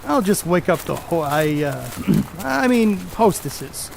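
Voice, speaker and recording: A man's voice: high-pitched, big pitch swings, slow, gravelly, slight background noise, full character voice. A man playing a brash, crude, boastful middle-aged 1930s baseball star on stage, cocky and slangy. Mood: crude teasing. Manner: cocky, slangy, coarse-mouthed. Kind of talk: stage play acting, 1930s American banter.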